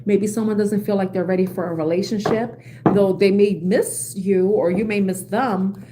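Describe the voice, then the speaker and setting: A woman's voice: mid-range voice, steady tone, moderate pace, noisy background. Chatty woman reading tarot cards. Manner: chatty, laughs at own jokes, self-correcting. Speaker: tarot reader.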